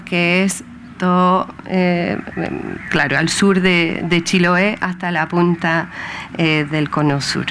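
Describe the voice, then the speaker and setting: English-born woman, 30s, researcher lecturing; mid pitch, halting and filler-heavy, faint room hum behind. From England. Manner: hesitant, many fillers and tag questions. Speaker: marine researcher giving a public talk. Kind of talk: public lecture.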